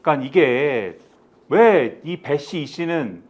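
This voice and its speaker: A man offers political analysis as a panelist. His voice is high and swings widely in pitch. He builds his case emphatically, point by point, often posing rhetorical questions. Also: animated, slow pace.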